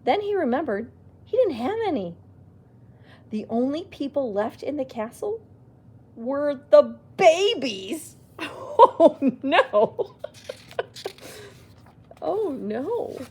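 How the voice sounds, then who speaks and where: Female library storyteller, unhurried picture-book read with lots of swoops and small reacting asides. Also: high-pitched. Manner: expressive, exclaiming little reactions. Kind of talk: reading a picture book aloud to children with asides.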